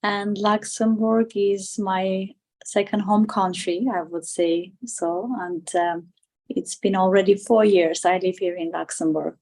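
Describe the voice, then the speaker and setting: A woman at a middling pitch. She answers as an interview guest in a conversational way. Her delivery is halting, as she repeats and rephrases words while she builds her sentences. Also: steady tone, darkish tone. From Armenia. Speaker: interview guest, political candidate.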